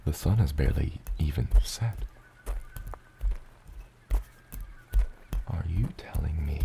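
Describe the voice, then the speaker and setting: A man voicing a huge, gentle father dragon in an ASMR roleplay, with a gravelly, breathy rumble and tender, eloquent phrasing. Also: exaggerated voice. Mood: coaxing, tender. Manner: slow, tender, eloquent, slightly old-fashioned wording.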